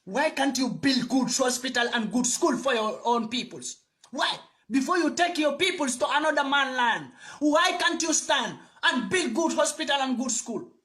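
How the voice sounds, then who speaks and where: A man speaks in a very high, sharp, bright voice. He gives a passionate address to camera. He drives his points home with preachy repetition. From Gambia. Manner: fiery, preachy, repeats phrases for emphasis. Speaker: video commentator.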